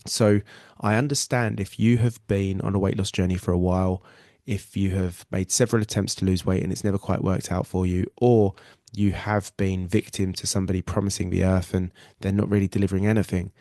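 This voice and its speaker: A man's voice: low-pitched, level tone, brisk, dark tone, no room sound, studio quality. A man with a nasal cold, hosting a live weight loss webinar in an earnest, reassuring way and often repeating himself. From England. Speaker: weight loss coach and podcast host.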